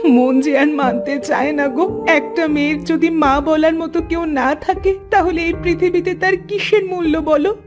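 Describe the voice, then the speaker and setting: A woman's voice: high-pitched, steady tone, unhurried, few pauses, music in the background. A woman playing a poor, emotive village wife who longs for her child, in a cartoon fairy tale. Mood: sorrowful.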